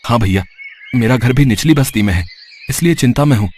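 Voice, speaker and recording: A man's voice: medium pitch, expressive, fairly quick, a little mellow, lightly acted. An anxious, humble labourer father played by a man in a cartoon story. He talks in an earnest, pleading way, with noise behind him. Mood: worried. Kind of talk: Hindi cartoon story character voice.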